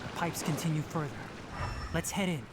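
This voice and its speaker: A woman voicing a clever young male researcher in a video game, calm, precise and matter-of-fact. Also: low-pitched, brisk, noisy background, lightly acted. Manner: precise, explanatory. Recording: game music behind the voice.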